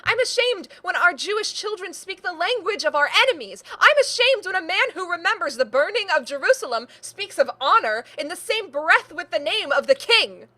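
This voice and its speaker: A woman's voice: on the high side, lively intonation, a little slow, sharp tone, heavily acted. A woman acting in a play reading as a proud, bitter young niece with an intense, declamatory manner. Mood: furious, defiant. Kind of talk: theatrical stage play reading.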